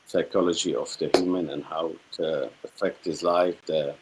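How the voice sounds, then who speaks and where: A middle-aged man from Syria with a Syrian accent, a native Arabic speaker, telling his own story reflectively as a guest, halting and repeating himself as he searches for words. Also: extremely low pitch, fairly even intonation, husky, poor audio. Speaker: guest, spiritual healer.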